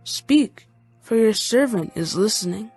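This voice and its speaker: A woman's voice: mid-range voice, expressive, a little slow, darkish tone, slightly rough. A woman playing an obedient, earnest young boy in a dramatized scripture audiobook, with music behind. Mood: humble, attentive.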